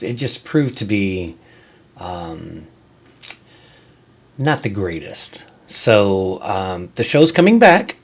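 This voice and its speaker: A man hosting his own creator show, talking to viewers in a casual, conversational way with frequent halting pauses. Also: fairly low pitch. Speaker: host of a creator video show, musician. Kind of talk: casual scripted-and-ad-libbed vlog to viewers.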